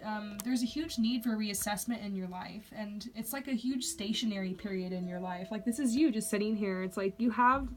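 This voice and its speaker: A young woman doing a casual psychic reading, chatty and full of fillers, her voice a little raspy from a long day. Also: on the high side. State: slightly raspy, short of water. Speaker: clairvoyant reader. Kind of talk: casual one-on-one tarot-style reading.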